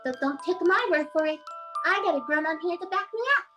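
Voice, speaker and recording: A bubbly little candy girl in a 1940s radio advert. The voice is very high and gushing, set back from the microphone, with music playing behind it. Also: fast, far from the mic. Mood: perky, gushing. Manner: gushing sales pitch. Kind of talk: 1940s radio commercial acting.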